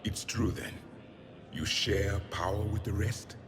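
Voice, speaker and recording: Deep, imperious ancient alien. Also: male voice, takes it slowly, background noise. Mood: haughty. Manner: solemn, imperious. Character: ancient proud alien warrior of a fallen empire.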